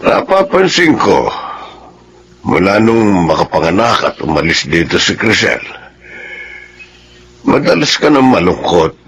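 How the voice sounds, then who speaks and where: An actor playing a gentle, concerned old father in a radio drama, speaking in a halting, tender, paternal way. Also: deep voice, very animated, a little hiss or hum, exaggerated voice. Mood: tender, searching. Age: older. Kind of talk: Filipino radio drama acting.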